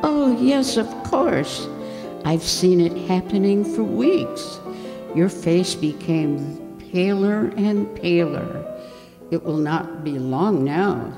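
A knowing, menacing undead stranger from an old-time radio mystery, played by an older woman on stage. The voice is gravelly and very slow, swinging ominously from note to note. It echoes through a hall. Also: on the low side, expressive. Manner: deliberate, ominous, insistent. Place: stage in a community hall, stand microphone. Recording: echoey hall, music over voice.

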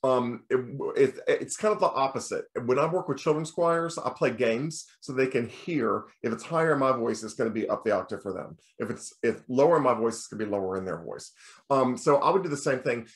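A middle-aged American man with a bass voice, a choir teacher giving a recorded lecture in a relaxed, explaining way. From United States. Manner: relaxed explaining, breaks into sung demonstrations. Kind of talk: recorded lecture over slides.

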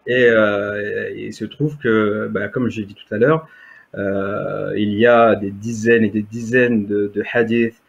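A man's voice: lowish voice, level tone, slow pace, creaky. A man in his thirties, a native Berber speaker, telling his story as an interview guest on a video call, hesitant with many fillers and restarts. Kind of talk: testimony in conversation. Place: home room, indoors. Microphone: webcam on video call. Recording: dull lo-fi video call audio.

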